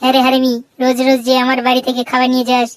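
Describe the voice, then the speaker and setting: A performer voicing a hot-tempered, suspicious cartoon husband in a high, childlike voice, brash and scolding. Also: completely flat delivery, rapid-fire, no room sound, natural voice. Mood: angry, scolding. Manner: brash, scolding, insult-laden. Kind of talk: exaggerated comic cartoon dialogue. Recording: noisy track with clicks.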